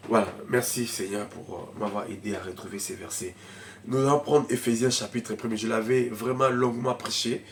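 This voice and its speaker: A man preaching a sermon as pastor, who has a cold and coughs. He moves between shouted, fervent lines and quiet, mumbled asides. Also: on the low side, fairly even intonation, moderate pace, a little hiss or hum. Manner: speaks in tongues in bursts.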